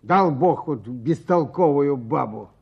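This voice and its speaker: A man's voice: mid-range voice, animated, slow pace, creaky, crowd noise. An actor playing a stubborn, grumbling village fisherman in a radio drama, blustery and repeating his words. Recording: dull muffled radio play recording.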